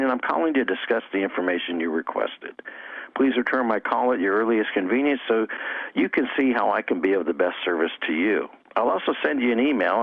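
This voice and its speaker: A man's voice: fairly low pitch, vocal fry, no echo. A man giving instructional interview answers as a coach and trainer. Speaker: network marketing coach and trainer. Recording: dull, dry lo-fi audio.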